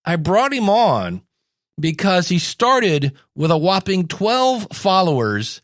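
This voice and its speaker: A man's voice: high voice, huge pitch swings, slow pace, fairly bright tone, dry recording, clean studio sound. A man in his fifties hosting a podcast, talking casually and candidly in an anecdotal monologue. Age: 50s. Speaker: podcast host.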